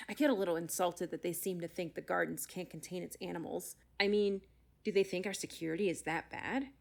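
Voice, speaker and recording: An actress playing a frustrated, incredulous middle-aged zoo doctor, telling her story emphatically with dramatic pauses in a podcast-style audio drama. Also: lowish voice, fairly even intonation, vocal fry. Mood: exasperated. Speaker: expert interviewee.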